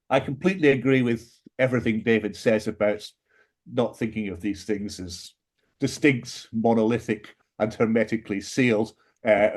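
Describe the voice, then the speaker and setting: A man with a British English accent giving a prepared philosophy talk as a panelist, measured and lecturing, with dry wry asides and emphatic rises on key points. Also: on the low side, a little mellow, breathy edge. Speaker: panelist in an academic book discussion.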